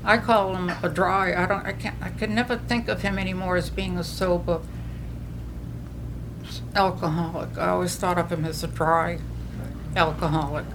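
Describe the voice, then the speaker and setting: A woman's voice: lowish voice, steady tone, moderate pace, noisy background. An older woman with a New England accent gives earnest personal testimony at a recovery meeting, telling her story with frequent restarts.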